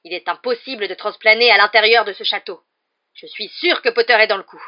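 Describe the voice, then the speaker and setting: Une femme qui interprète dans un livre audio un professeur hors de lui, rugissant des accusations rageuses. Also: female voice, medium pitch, a little fast, lo-fi sound, pitched above the performer's own voice, lightly acted. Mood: furious. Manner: roaring, beside himself with rage. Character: furious, vindictive male professor, shouting accusations. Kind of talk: acted audiobook dialogue.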